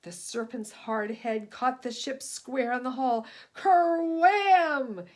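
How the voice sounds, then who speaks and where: A woman reading a children's story aloud in an expressive, emphatic style, moving between hushed and booming delivery. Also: slow. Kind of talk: expressive scripted storybook narration.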